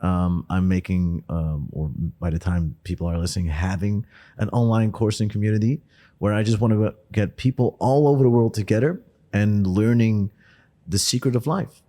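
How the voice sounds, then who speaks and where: A man's voice: fairly even intonation, moderate pace, warm tone. A man in his twenties from the Netherlands, a native Dutch speaker, hosting a podcast in a chatty, laughing way. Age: 20s. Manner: chatty, laughing, rising into higher pitch when curious. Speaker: podcast host. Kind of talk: casual podcast interview.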